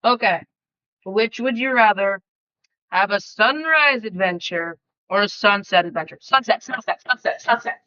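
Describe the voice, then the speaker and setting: A woman's voice: medium pitch, lively intonation, normal speed, pushed and bright, lo-fi sound. A female speaker reading out quiz questions in a casual podcast style.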